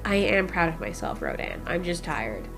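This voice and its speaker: A woman's voice: fairly low pitch, sing-song, moderate pace, gravelly, a bit bright, soft background music, pitched below the performer's own voice, exaggerated voice. Woman voicing a weary, curt moth kaiju. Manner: curt, evasive. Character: tired, guarded female moth kaiju.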